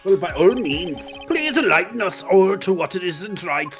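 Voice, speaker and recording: A stuffy old male judge as put on by a fan dubber in a courtroom game. The delivery is formal and pompous, with lively swings of pitch over background music. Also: moderate pace, close to an everyday voice. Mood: puzzled.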